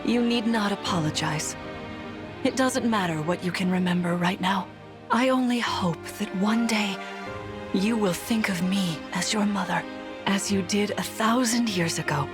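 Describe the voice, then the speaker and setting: An actress playing a gentle, regal mother queen in a video game, speaking in a tender, formal, measured way. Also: mid-range voice, over music, lightly acted. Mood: tender, wistful. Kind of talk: scripted video game voice acting.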